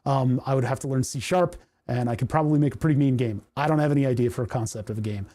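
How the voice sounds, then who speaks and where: A man hosting a casual coding livestream, rambling and chatty with frequent laughs, his voice starting to go hoarse. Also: mid-range voice, fast, dark tone. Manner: rambling, chatty, laughs often, reads chat aloud. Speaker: livestream host.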